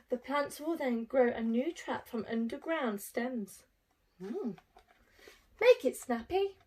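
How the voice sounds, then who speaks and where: Casting: woman, higher-pitched voice, primary teacher doing an expressive read-aloud for young pupils with simple asides. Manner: addresses young children, explaining simply with rhetorical tag questions. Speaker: infant school teacher reading to pupils.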